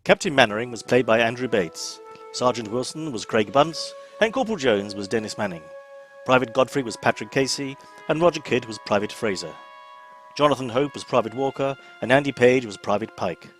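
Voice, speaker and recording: A man's voice: medium pitch, animated, brisk, fairly bright tone. Man, announcer, scripted credit reading, mid-range voice, faint music bed.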